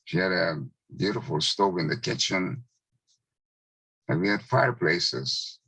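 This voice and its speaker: Gravelly deep-voiced man in his nineties. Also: animated, fairly bright tone, dry recording. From Czechoslovakia. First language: Hungarian. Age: 90s. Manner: slow, measured recollection with pauses. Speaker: Holocaust survivor speaker. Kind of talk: testimony talk.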